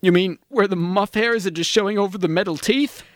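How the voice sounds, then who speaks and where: Man putting on a whiny, high, reluctant old man. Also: fairly quick, dry recording, clean studio sound, close to an everyday voice. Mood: whiny, hurt. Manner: plaintive, protesting. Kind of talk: comic role-play skit.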